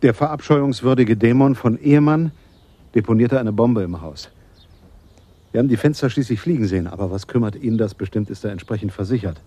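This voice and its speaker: A man's voice: medium pitch, fairly quick, mellow, husky, no echo, natural voice. An actor in a German radio play as an opinionated police sergeant who explains his theories with sarcastic emphasis. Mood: insistent, sarcastic. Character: eager, opinionated police sergeant, man, theorizing about crime.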